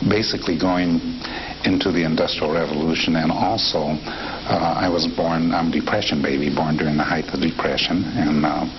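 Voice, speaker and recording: Very deep-voiced man in his fifties. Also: crowd noise. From Nebraska, USA. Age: 50s. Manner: reflective, unhurried, with frequent fillers and restarts. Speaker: interviewee. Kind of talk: relaxed oral history interview. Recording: dull lo-fi tape with steady hiss.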